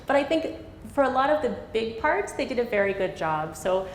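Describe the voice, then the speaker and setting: A woman's voice: a little high, big pitch swings, fairly quick, slight background noise. A woman linguist answering questions in a public conversation, explaining in a thoughtful way and laughing now and then. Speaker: linguist giving a public talk. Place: lecture hall. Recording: echoey hall with faint ambience.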